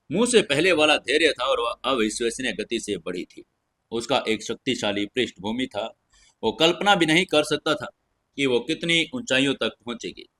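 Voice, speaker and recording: A man's voice: mid-range voice, bright, forceful tone, husky. A man narrating a scripted fantasy audio story in a dramatic storytelling style.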